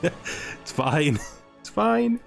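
A man's voice: high voice, big pitch swings, very slow, creaky. A man giving casual commentary on a strategy game, thinking aloud as he plays. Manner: thinks aloud, talking to himself. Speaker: gaming video host. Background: background music.

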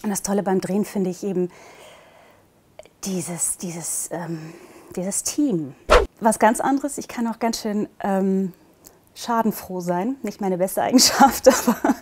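A woman in her thirties who grew up in Portugal speaks in a casual, personal way, as an actress would when introducing herself. Her voice sits at a middle pitch and her manner is chatty. She is recorded indoors on a lapel mic. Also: unhurried, very noisy. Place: indoor studio with dark backdrop.

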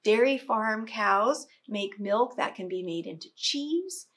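A middle-aged woman reading a picture book aloud expressively and explaining it to young children as a teacher. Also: mid-range voice. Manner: slow, expressive, explanatory, dramatic stresses.

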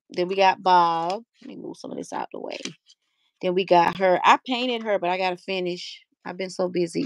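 A woman's voice: on the low side, creaky. A middle-aged woman hosting a casual livestream from a room at home, talking easily into a webcam. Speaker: livestream host.

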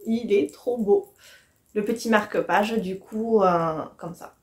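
A woman's voice: mid-range voice, slow, a little mellow. A woman, chatting casually and enthusiastically to the camera as a book vlogger. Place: small furnished room at home, indoors.

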